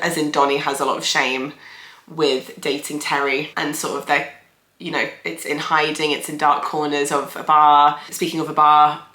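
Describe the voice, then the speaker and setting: A woman's voice: low voice, sharp tone, echoey room. A young woman, a therapist hosting her channel, sounding a bit nasal and talking in a chatty, thoughtful way with many fillers. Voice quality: nasal from hay fever. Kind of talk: casual video commentary.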